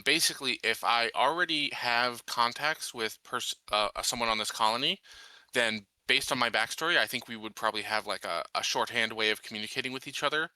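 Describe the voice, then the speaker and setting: Male roleplaying player, tentative and conversational, extremely crisp bright tone, dry close recording. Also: medium pitch, dry recording, low-quality recording. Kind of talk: casual tabletop roleplaying talk.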